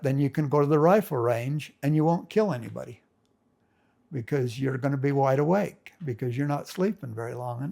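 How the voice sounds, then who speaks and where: An older man with a gravelly, creaky voice, telling family stories in a loose, rambling way. His grammar is folksy, and he often stops and restarts his sentences. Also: medium pitch, warm tone. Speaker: family storyteller. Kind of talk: casual spoken reminiscence.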